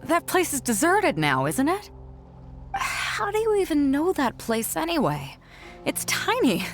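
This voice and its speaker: An actress playing a confident, playful yet reflective woman sea captain in video game dialogue, with a self-assured, measured way of speaking. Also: sits high, huge pitch swings, exaggerated voice. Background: music.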